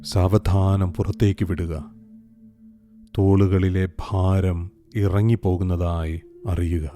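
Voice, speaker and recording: A man leads a guided meditation in a very low, mellow voice, speaking slowly with long pauses between soft instructions. Gentle music and a singing bowl sit faintly behind him. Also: level tone.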